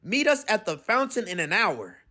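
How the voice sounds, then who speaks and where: A man's voice: high-pitched, big pitch swings, moderate pace, sharp tone, heavily acted. A man voicing an upbeat, caring superhero mother in a children's storybook. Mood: forced cheer.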